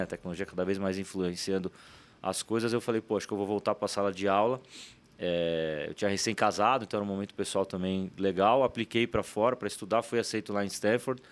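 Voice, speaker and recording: A Paulistano man in his forties talks quickly and easily as an interview guest. His voice sits on the low side, and he keeps the talk moving with little tag questions. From São Paulo, Brazil. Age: 40s. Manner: quick, chatty, leans on "né" tags. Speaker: guest, startup CEO. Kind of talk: casual interview storytelling.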